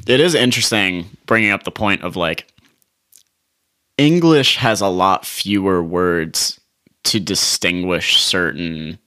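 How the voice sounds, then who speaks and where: Casting: fairly low, bright-toned guy, easygoing co-host, casual cursing. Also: takes it slowly, smooth. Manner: laid-back, swearing, laughs easily. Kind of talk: casual chat.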